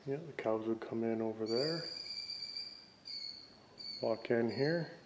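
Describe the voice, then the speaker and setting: A man's voice: medium pitch, takes it slowly, background noise. A man giving casual exploring commentary in short, musing fragments, recorded on a handheld camera inside a barn. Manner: musing, fragmented remarks while looking around. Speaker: urban explorer vlogger. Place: inside a dark abandoned barn. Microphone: handheld camera mic.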